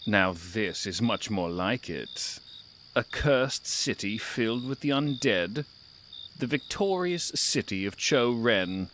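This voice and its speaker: A man's voice: on the low side, expressive, slow, noisy background. A man voicing a curious, impatient and sardonic feline traveler who guides listeners through an audio drama with haughty wit. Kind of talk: theatrical audio drama narration.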